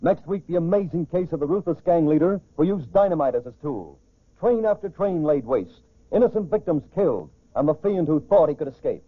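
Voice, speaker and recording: An old, muffled radio broadcast carries a man's husky, fairly high voice. He announces in the emphatic, dramatic style of a 1940s crime program.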